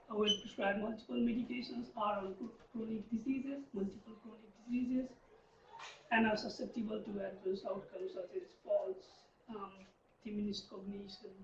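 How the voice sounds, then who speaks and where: A man in his thirties from Nepal giving an academic research presentation, pausing often with um and uh. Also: high voice, slight background noise. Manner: hesitant, frequent um and uh fillers. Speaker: research lecturer. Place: lecture room. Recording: distant, dull, roomy lecture recording.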